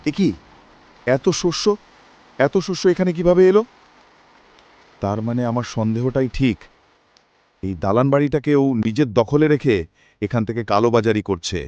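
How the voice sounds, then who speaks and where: A man plays a practical, loyal village servant in a cartoon. His voice sits at a middle pitch, and he speaks in an earnest, bold, suspicious way. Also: a little mellow, dry recording, muffled recording, close to an everyday voice. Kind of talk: earnest cartoon drama dialogue.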